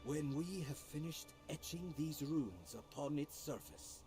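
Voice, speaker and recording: A man's voice: a little high, lively intonation, unhurried, warm tone, soft background music. A man voicing a defiant, menacing servant of an evil realm in a fantasy game, declaiming threats.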